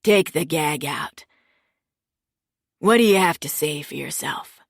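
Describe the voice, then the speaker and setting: A woman putting on a calm, menacing crime boss, a man who talks low and slow and sometimes drops to a whisper. The voice is dry and close, with no room around it. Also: gravelly, no echo, full character voice.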